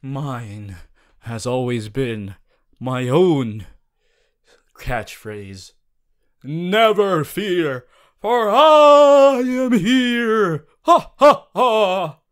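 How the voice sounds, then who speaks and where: Man playing a theatrical young superhero student, swinging pitch. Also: very animated, clean tone, exaggerated voice. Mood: booming, triumphant. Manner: halting, theatrical, breaks into booming heroic declarations. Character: boisterous, cheery young male superhero student. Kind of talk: ASMR audio roleplay to a listener.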